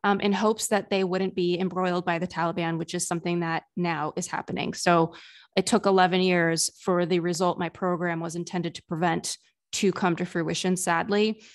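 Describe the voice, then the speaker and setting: A woman's voice: fairly low pitch, level tone, moderate pace. A woman in her thirties, a career coach, telling her story fluently as a podcast guest. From United States. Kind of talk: casual interview storytelling.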